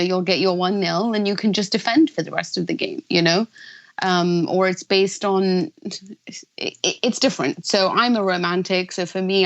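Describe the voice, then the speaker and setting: A woman's voice: fairly low pitch, highs cut off. A woman in her thirties talking football on a radio phone-in, chatty and enthusiastic, laughing easily. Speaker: football pundit. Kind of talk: casual radio chat.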